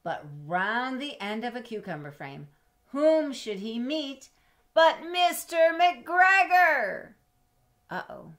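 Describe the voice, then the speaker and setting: A middle-aged woman reading a picture book aloud to children, expressively, pausing to explain and ask questions in a teacherly way. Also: high-pitched, sing-song, fairly bright tone. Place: small indoor home room with bookshelves.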